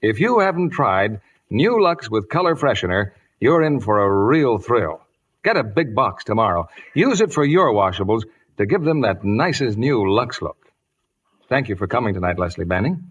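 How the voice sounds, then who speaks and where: A man's voice: fairly low pitch, big pitch swings, moderate pace, breathy edge, dull audio. An American man announcing in polished, emphatic 1950s radio commercial style.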